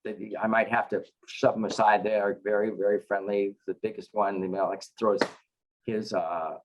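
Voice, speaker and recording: A middle-aged man, a chaplain, teaching a casual scripture class over a video call, halting with lots of filler sounds and dropping wry asides. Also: lowish voice, level tone, a little hiss or hum, rough recording. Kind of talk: casual online scripture lesson. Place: home with dogs in another room.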